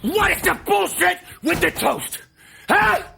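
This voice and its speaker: An actor playing a combative, desperate, hard-drinking brother in a raw, naturalistic stage drama, agitated and argumentative. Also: male voice, extremely high pitch, lively intonation, takes it slowly, gravelly, full character voice. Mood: heated, shouting. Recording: filmed stage play with faint noise.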